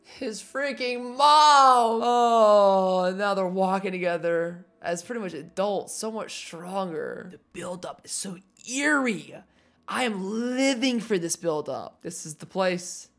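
A young man in his twenties giving casual, excitable reaction commentary on an anime episode, exclaiming, laughing and reading subtitles aloud, recorded on a headset in a small home room. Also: high-pitched, takes it slowly.

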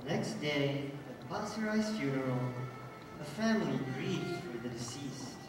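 A man's voice: mid-range voice, animated, a little slow. A young man narrating a stage pantomime from a script, with a theatrical storyteller delivery. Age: young adult. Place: large theatre auditorium, heard over PA. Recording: echoey hall from far off, music behind. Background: loud music.

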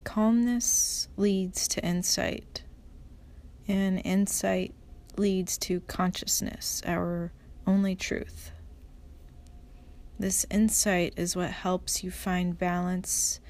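A woman leading a guided meditation as an instructor, speaking in a calm, measured, soft way with pauses. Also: fairly low pitch.

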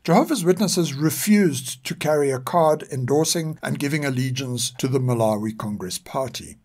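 An older man with a South African accent reads scripted narration in a measured, formal manner. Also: medium pitch, darkish tone. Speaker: podcast narrator.

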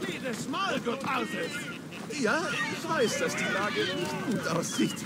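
A beleaguered pirate skipper from a game defends himself in a high, animated voice. Loud outdoor ambience competes with the speech. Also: male voice, slow pace, very noisy, heavily acted. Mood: defensive. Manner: defensive, pleading to explain. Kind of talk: video game voice acting.

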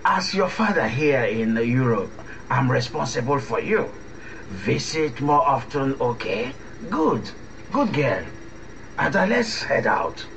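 An actor playing a fatherly, middle-aged Nigerian uncle in a radio drama, soothing and measured, with a Nigerian accent. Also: mid-range voice, very animated, vocal fry, fairly bright tone, heavily acted. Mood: fatherly, hurried. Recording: dull, faintly noisy recording.

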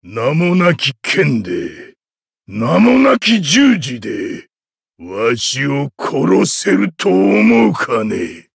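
Anime game warrior monk, old, huge, menacing: husky, hugely swooping delivery, archaic and theatrical. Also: male voice, mid-range voice, huge pitch swings, no room sound, clean studio sound, exaggerated voice. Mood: menacing, amused. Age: older. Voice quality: gravelly, husky. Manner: slow, theatrical, archaic old-man speech. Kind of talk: anime game battle voice lines.

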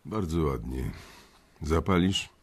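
An actor playing a big, phlegmatic, menacing bank boss, gravelly and laconic, in an audio drama. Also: male voice, animated, exaggerated voice. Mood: cool approval. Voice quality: gravelly, very deep, husky. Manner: slow-to-react, laconic, coolly approving.

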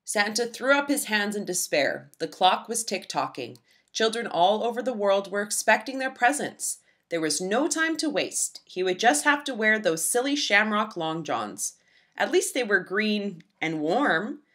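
A woman reading a children's storybook aloud with expressive, dramatic emphasis. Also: mid-range voice, unhurried. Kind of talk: expressive scripted read-aloud narration for young children.

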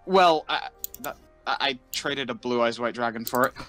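A man improvising a flustered, exasperated young sidekick in a Minecraft roleplay, halting and stammering. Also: mid-range voice, a bit bright, faint music behind, close to an everyday voice. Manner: halting, stammering, repeats words.

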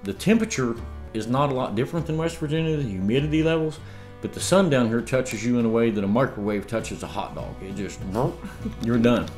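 A man's voice: mid-range voice, brisk, a little mellow, soft background music. A man in his fifties telling rambling stories in a casual chat, recorded at his dining table on a camera across the table. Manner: rambling storyteller with laughs and restarts. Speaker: homesteading vlogger. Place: home dining room, indoors.

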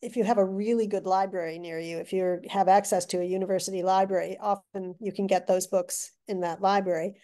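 An older woman, a guest author, telling stories in a chatty, animated way with restarts, heard over a video call. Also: mid-range voice, fairly quick, few pauses. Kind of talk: casual interview chat. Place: living room with brick wall. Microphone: video call webcam.

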